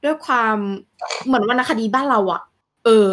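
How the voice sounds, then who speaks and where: A woman's voice: high-pitched, normal speed, a bit bright. Chatty woman co-hosting a casual podcast. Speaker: podcast co-host. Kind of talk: casual chat.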